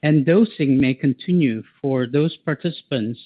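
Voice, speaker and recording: A man reading a formal, scripted corporate statement as an executive on an earnings call, in a measured way over a phone line. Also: medium pitch, dry recording. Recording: tinny phone-like conference call.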